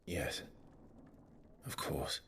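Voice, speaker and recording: A man playing a brooding, guilt-ridden, weary wanderer in a video game cutscene, speaking in a grave, halting, restrained way. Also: a bit bright, slight background noise. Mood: halting, subdued. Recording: prominent music behind the dialogue.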